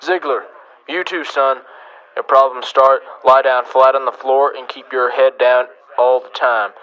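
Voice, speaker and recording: A slightly dull radio western recording of a man acting as a town marshal in the Old West. His voice is mid-pitched, weary and stern, and he gives terse orders with firm authority. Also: fairly even intonation, unhurried, fairly bright tone, clean tone, close to an everyday voice. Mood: grim, commanding.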